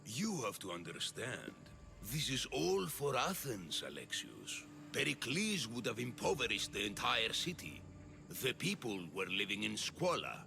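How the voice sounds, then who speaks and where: An actor playing a smug, scheming Athenian politician in a video game, oratorical, menacing and condescending. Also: male voice, medium pitch, huge pitch swings, unhurried, creaky, exaggerated voice. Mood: smug, persuasive. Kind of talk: dramatic video game cutscene acting. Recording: music behind the voice.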